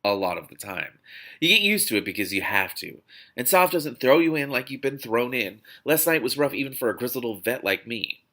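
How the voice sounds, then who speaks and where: A man voicing an older, grizzled veteran agent from a novel, earnest and reassuring in a halting appeal. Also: mid-range voice, animated, pushed and bright.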